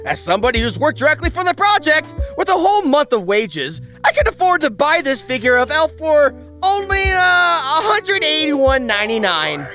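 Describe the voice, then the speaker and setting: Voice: high voice, very animated, moderate pace, hardly any pauses, sharp tone. A video essay host narrating a script in a sarcastic, exaggerated way and swearing freely. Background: background music.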